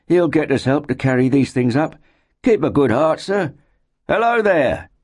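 A hearty young working-class fellow, acted by a male narrator in a storybook reading. He is pitched well up, chatty and unflappable, speaking in a colloquial, respectful way. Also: lively intonation, moderate pace, darkish tone, slightly rough. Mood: upbeat, hailing.

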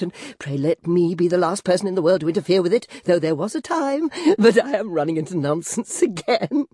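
A high, fluttery voice of a flustered middle-aged lady, as a male narrator puts her on, gushing along without a pause in a storybook reading. Her words tumble out fast and breathless under a faint husky edge, the tone dry and close. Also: darkish tone, no room sound. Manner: breathless nonstop rambling, giggling, flustered. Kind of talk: audiobook character voice.

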